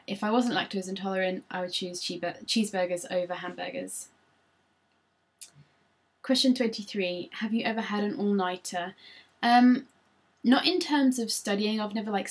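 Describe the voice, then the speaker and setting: A woman, chatting casually through tag questions on her vlog with frequent ums, recorded on a laptop. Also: mid-range voice. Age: 20s. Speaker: vlogger.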